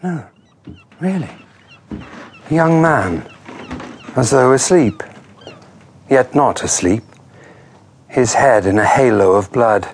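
An actor playing a somber, reflective man in a radio drama, speaking in a measured, brooding way. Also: mid-range voice, expressive, slight background noise. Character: somber reflective male friend narrating a murder scene. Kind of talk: radio drama acting, intimate narration.

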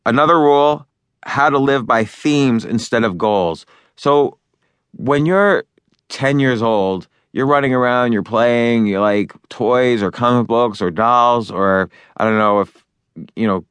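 A man's voice: fairly low pitch, fairly bright tone, clean tone, no room sound. A man narrating his own book in a loose, conversational way, with fillers and restarts as he talks over each point. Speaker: author and narrator.

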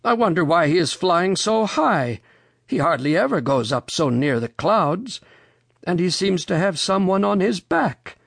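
A reader voicing a genial, excitable old gentleman rabbit from a storybook, polite and given to exclaiming. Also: medium pitch, measured pace, creaky, no echo, studio quality, pitched above the performer's own voice, exaggerated voice. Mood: curious. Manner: polite, exclamatory, wondering aloud.

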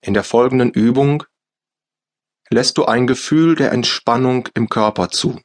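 A man with a German accent guiding a yoga nidra relaxation in slow, soft, calm instructions with long pauses. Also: mid-range voice. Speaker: meditation guide. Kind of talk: guided yoga nidra meditation.

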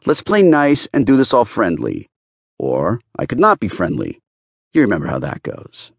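Man voicing a brusque, wry female federal agent, lively pitch. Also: moderate pace, creaky, no room sound, studio quality, exaggerated voice. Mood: needling, firm. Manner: wry, commanding, needling.

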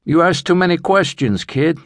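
A brash, streetwise Brooklyn tough guy from a crime story, as a male narrator puts him on. He is bossy and gruff in manner, and his pitch jumps high and lively in a dry recording. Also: moderate pace, dry recording. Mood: dismissive, grumbling. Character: big gruff loud Brooklyn Italian loan shark.

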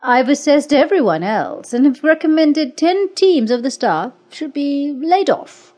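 A woman's voice: high-pitched, expressive, measured pace, a little mellow, pitched above the performer's own voice. Woman putting on an icy, commanding businesswoman. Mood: cold. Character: cold domineering woman assessor, cruel and proud.

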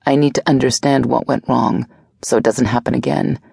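A woman reading an audiobook voices a protective, guilt-ridden woman who speaks low and quietly. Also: steady tone, moderate pace, vocal fry, husky, dry recording, clean studio sound, full character voice. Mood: subdued.

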